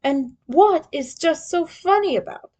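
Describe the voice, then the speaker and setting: A woman's voice: very high-pitched, expressive, unhurried, a little mellow, voice pushed up. Woman voicing a haughty, curt alien warlord. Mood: irritated. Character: proud, curt, hostile male alien warlord in armor.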